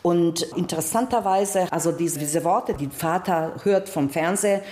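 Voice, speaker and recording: A woman's voice: on the low side, moderate pace, a little mellow. A middle-aged woman from Serbia, a native Serbo-Croatian speaker with a Balkan accent in German, telling personal stories expressively as an interview guest. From Serbia, former Yugoslavia. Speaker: guest, singer, actress and author. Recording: roomy, echoing space.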